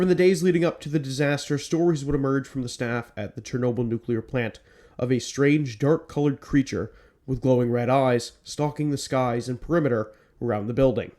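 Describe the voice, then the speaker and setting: A man's voice: mid-range voice, darkish tone. A man hosting his own podcast, sounding stuffed up from a cold, moving from scripted narration into rambling talk full of ums. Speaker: podcast host. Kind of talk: scripted narration then casual chat.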